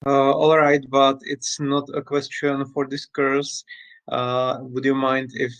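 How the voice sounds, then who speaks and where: A man's voice: mid-range voice, completely flat delivery, slow, fairly bright tone, no room sound. A man with a Czech accent, a student asking politely and hesitantly during an online class. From Czech Republic.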